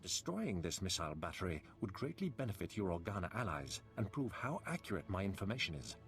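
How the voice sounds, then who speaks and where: An actor playing a proud captive nobleman in a video game, speaking in a measured, formal, haughty manner. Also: low-pitched, big pitch swings, fairly bright tone, full character voice. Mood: bargaining. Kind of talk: scripted video game dialogue. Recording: clear music behind the voice.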